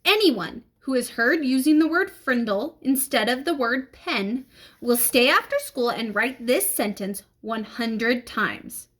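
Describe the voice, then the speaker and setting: A woman's voice: on the high side, lively intonation, a bit bright. A woman as a stern, formal female schoolteacher. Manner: formal, measured, admonishing.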